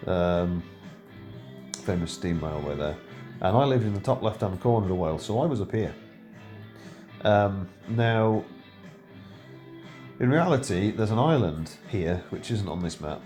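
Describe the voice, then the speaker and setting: A middle-aged man with a low voice talks through a building game in a loose, rambling way. He stops often to hesitate and correct himself. Faint music plays softly behind him. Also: expressive, unhurried, pauses often. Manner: rambling, hesitant, full of ums and self-corrections. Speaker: game video creator. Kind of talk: casual gameplay commentary.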